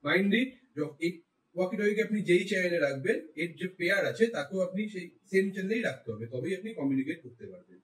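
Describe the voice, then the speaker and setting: A man with an Indian Bengali accent talks quickly through a casual product review. His voice is high for a man and he slips English phrases into his explanations. The recording is echoey, picked up from some distance. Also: lively intonation, a bit bright. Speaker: tech reviewer, vlogger. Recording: roomy echo, distant mic.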